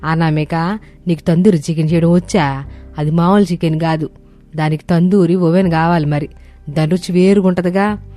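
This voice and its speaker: A woman's voice: on the low side, fairly even intonation, moderate pace, mellow, natural voice. Woman voicing a homely, skeptical elderly grandmother. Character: elderly grandmother and mother-in-law, fond but skeptical. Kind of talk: cartoon family story. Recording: music bed under voice.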